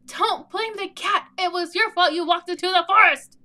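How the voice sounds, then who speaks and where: A woman's voice: very high voice, normal speed, pushed and bright. A woman hosting a let's play, chatting casually in an informal, rambling, playful way. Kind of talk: casual gameplay commentary.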